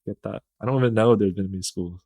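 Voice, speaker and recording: A man's voice: fairly low pitch, quick pace, a little mellow, no room sound. A young man chatting casually as a podcast guest, rambling with many fillers and laughs. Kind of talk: casual interview chat.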